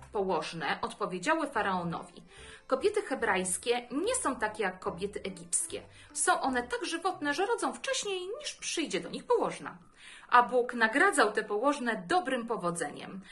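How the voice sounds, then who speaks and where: A woman's voice: a little high, fairly bright tone. A woman in her thirties reading a Bible story aloud to children as a storyteller.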